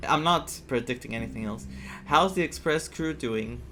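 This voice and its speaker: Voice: mid-range voice, normal speed, sharp tone, slight background noise. A game streamer giving casual playthrough commentary, thinking aloud and reacting to the story. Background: game music.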